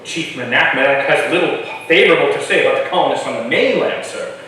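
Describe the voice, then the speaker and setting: Very echoey recording, man acting high-voiced young interpreter, deferential, earnest, tactful, hesitant, period play reading. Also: lively intonation, fast, sharp tone. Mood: dutiful. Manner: respectful, hesitant. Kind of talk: staged reading of a historical play. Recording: echoey room from far off, faint noise.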